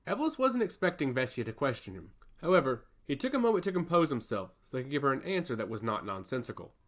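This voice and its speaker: A man's voice: medium pitch, sing-song, fast talker. A man narrating a scripted fantasy story as its storyteller.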